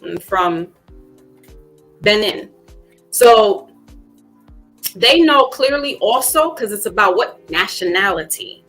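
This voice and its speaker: A woman's voice: medium pitch, animated, slow, pauses often, a bit bright, low-quality recording. Woman, podcast host, mid-range voice, casual and reflective, many fillers, faint music under her. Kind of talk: casual conversation.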